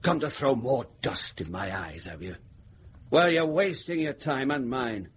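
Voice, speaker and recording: A man's voice: mid-range voice, lively intonation, measured pace, close to an everyday voice. A man acts a blustering medieval lawman in a radio play. His delivery rises and falls sharply, full of sneering accusation. There is faint noise behind the voice. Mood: scornful, accusing. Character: scornful, blustering medieval sergeant of the law. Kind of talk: radio drama acting.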